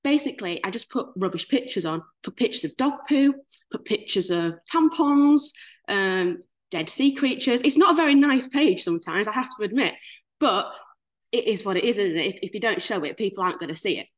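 A woman's voice: mid-range voice, brisk, low-quality recording. A woman in her twenties with a Yorkshire accent, giving a chatty, good-humoured presentation over a video call. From Leeds, Yorkshire, England. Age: 20s. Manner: chatty, lively, self-deprecating humour. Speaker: guest speaker. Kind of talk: casual webinar presentation.

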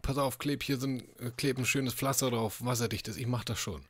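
A man's voice: mid-range voice, brisk, a bit bright. A man speaking casually, improvising as a player in an online tabletop game. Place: indoor room at home, video call.

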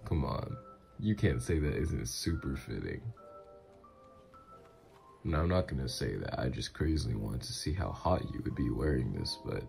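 A man acts out a mafia boss doting on his lover in an ASMR audio roleplay. His voice is extremely deep and gravelly, swinging freely up and down as he flirts. He talks in an intimate, teasing, reassuring way, with music playing behind him. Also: very animated, normal speed, darkish tone, heavily acted. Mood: flirty, teasing.

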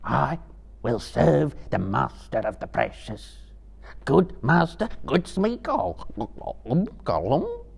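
A man voicing a cringing, fawning creature in a dramatic book reading, hoarse and whining, with pleading, repetitive speech. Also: medium pitch, wildly swooping pitch, slow pace, voice pushed up, heavily acted. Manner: pleading, repetitive, broken third-person speech.

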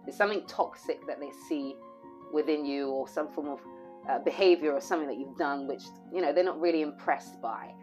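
A hoarse woman giving an intuitive tarot reading, rambling and repeating phrases with plenty of fillers. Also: low-pitched, a little fast, darkish tone, faint music behind. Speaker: tarot reader.

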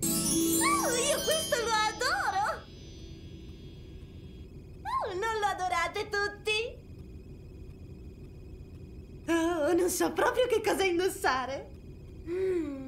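Excitable young heiress, very high-pitched, unhurried, theatrical, fussy, video game character. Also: female voice, noisy background, full character voice. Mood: gushing. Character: spoiled, excitable young Southern belle heiress. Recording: music behind the voice.

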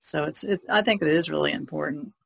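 A woman painter on a podcast panel, talking casually in a reflective, halting way. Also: sits low, creaky. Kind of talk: casual discussion.

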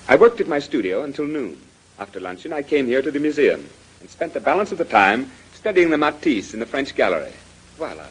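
A theatrical, sarcastic artist, a man in a 1930s radio play. He talks fast and animatedly in a very husky voice. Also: mid-range voice, big pitch swings, low-quality recording. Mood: sardonic. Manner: affected, sardonic.

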